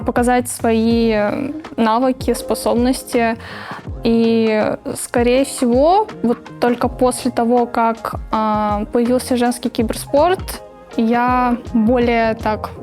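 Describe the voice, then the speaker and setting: Young woman, higher voice, searching for words. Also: flat delivery, slow pace, darkish tone. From Oryol, Russia. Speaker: esports player. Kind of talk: casual interview answers. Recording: music behind the voice.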